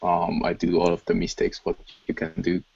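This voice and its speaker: A man with a Hungarian accent, a guest on an online call, talking hesitantly with many pauses. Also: low voice, completely flat delivery, gravelly, a little mellow, breathy edge. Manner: hesitant, many ums, simple phrasing. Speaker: guest listener. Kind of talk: casual chat. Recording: dull lo-fi call audio.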